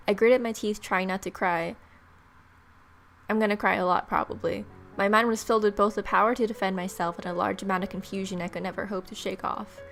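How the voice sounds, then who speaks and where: A woman gameplay host reading a visual novel aloud as expressive narration, with casual asides. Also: medium pitch, creaky.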